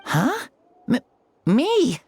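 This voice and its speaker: A woman's voice: sits high, huge pitch swings, extremely slow, a little mellow, slightly rough, heavily acted. A woman voicing an earnest, bewildered young child in a video game, speaking hesitantly with wide-eyed wonder. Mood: confused.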